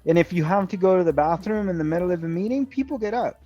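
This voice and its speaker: A man's voice: sits high, animated, fast, warm tone, muffled recording, soft background music. A man tutoring in a conversational online lesson, explaining with short acted-out examples. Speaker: English tutor. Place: online video call.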